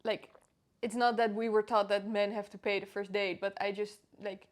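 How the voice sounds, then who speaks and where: Dutch girl podcast cohost, pretty flat even tone, chatting casually. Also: medium pitch, moderate pace. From Netherlands. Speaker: podcast co-host. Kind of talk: casual chat.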